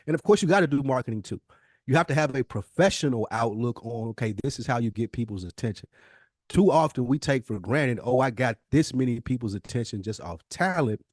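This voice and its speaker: A man's voice: medium pitch, fairly quick, a little mellow, dry recording, studio quality. An American man co-hosting a podcast, talking casually in long, emphatic, opinionated explanations. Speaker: podcast co-host. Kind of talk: casual conversational discussion.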